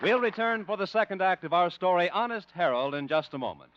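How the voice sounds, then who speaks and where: A man announcing and narrating a 1950s radio show in smooth promotional style. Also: high voice, animated, brisk, heavy background noise. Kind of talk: scripted announcing. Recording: muffled 1950 broadcast with music.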